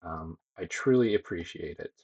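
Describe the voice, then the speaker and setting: Young male streamer, soothing and low-pitched, relaxed chat with viewers. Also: normal speed, vocal fry, husky. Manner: easygoing, reads chat aloud, frequent ums. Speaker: streamer and digital sculptor. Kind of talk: casual livestream chat with viewers. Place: home streaming room with green lighting.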